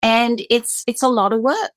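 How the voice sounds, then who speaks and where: A woman's voice: fairly high pitch, moderate pace, dry recording. A woman esthetician hosting a podcast, talking with emphatic passion and doubling up words as she presses her points. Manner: emphatic, fired-up, repeats words when stressing a point.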